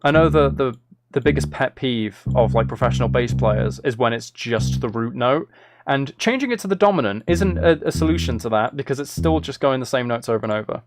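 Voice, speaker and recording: Casual male streamer with creaky voice. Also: mid-range voice, lively intonation, brisk, over music. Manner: self-deprecating, hums and sings out rhythms. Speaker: live streamer making music. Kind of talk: casual livestream chat, thinking aloud while composing.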